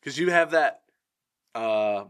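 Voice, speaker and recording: A man's voice: mid-range voice, expressive, takes it slowly, a bit bright, smooth. A man co-hosting a casual podcast, affirming his partner and asking leading questions. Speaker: co-host.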